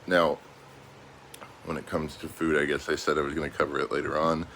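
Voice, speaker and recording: A man's voice: very deep voice, level tone, a little fast, vocal fry, fairly bright tone, slight background noise. A middle-aged American man speaking casually and unscripted, as a vlogger talking to his viewers. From United States. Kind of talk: casual vlog monologue.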